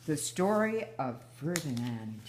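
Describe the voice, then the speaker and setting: A woman's voice: low-pitched, big pitch swings, slow, noisy background. An older woman reading a children's picture book aloud as a guest storyteller.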